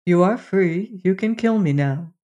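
A woman voicing a king's son in a storybook, a gentle, forgiving young prince who speaks quietly and sorrowfully. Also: on the low side, expressive, unhurried, dull audio. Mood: sad, gentle.

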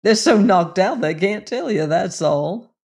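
A woman voicing a gentle, protective cowboy gunslinger with a rich voice and a folksy Western drawl in a storybook reading. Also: medium pitch, lively intonation, measured pace, gravelly, husky, heavily acted. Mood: warm, earnest.

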